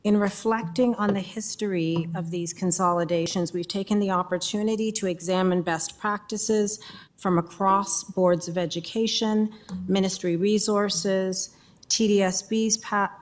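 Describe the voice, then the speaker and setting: A woman director introducing a report at a school board meeting in a measured, formal way, reading prepared remarks. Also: medium pitch, steady tone.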